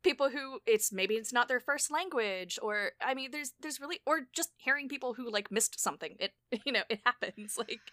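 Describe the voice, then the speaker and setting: A woman hosting a podcast, talking casually in an animated way with frequent restarts and exasperated asides. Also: a little high, normal speed, hardly any pauses, no echo, studio quality. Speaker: podcast host. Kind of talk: casual conversation.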